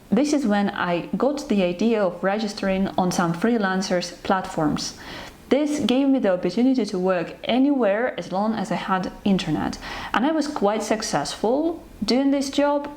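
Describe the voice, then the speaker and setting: A young woman from Ukraine, hosting her own channel, talking to her viewers about herself in an unhurried, earnest, slightly hesitant way. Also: medium pitch, darkish tone. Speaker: channel host. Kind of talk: personal talk to camera.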